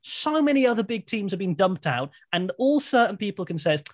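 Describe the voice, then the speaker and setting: A man's voice: high voice, very animated, a little fast, dry recording, studio quality. An English man co-hosting a podcast in casual chat, playful and wry. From England. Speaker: podcast co-host.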